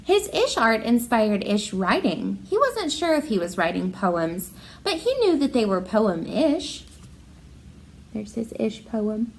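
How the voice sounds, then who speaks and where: A woman with a fairly high, very animated voice reads and chats as an art teacher would to children. Her delivery is expressive and encouraging, addressing young listeners directly as she goes. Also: slow pace, a little hiss or hum. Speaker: art teacher reading to pupils. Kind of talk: children's picture book read-aloud and encouraging talk.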